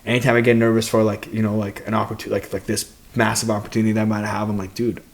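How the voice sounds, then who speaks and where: A man's voice: lowish voice, little pitch movement, fast talker. A young man chatting casually on a podcast as a co-host and fighter, laid-back and rambling, full of slang and swearing. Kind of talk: casual chat.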